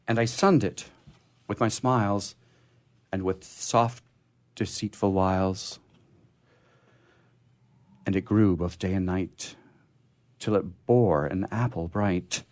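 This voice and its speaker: A man hosting a poetry program, speaking in a halting, expressive way as he talks casually and reads verse. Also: sits low. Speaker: radio show host reading poetry. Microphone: studio microphone he drifts away from.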